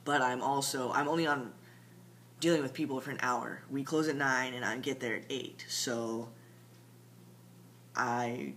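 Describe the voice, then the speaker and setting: Young man's quiet rambling late-night vlog. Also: mid-range voice, fairly even intonation, a little slow, pauses often. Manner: rambling, filler-heavy, quiet and mumbly. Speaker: vlogger. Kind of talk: casual late-night video diary. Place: home at night. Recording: faint hum behind the voice.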